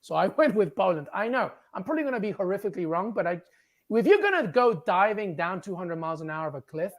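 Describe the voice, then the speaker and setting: Sports show panelist with a creaky, gravelly voice, punchy and emphatic in studio discussion. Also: medium pitch, very animated, fast. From England.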